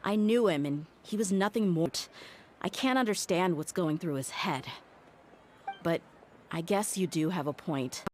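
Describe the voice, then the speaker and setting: A woman's voice: on the low side, measured pace, darkish tone, slight background noise, natural voice. An actress playing a tough young woman mercenary in a video game, blunt, weary, guarded and hurt. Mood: bitter, hurt.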